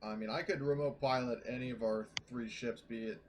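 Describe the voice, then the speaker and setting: A man's voice: mid-range voice, steady tone, brisk. Male tabletop gamer, mid-range voice. Informal, matter-of-fact explainer, faint background noise. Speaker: player in a tabletop roleplaying game. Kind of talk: casual game chat.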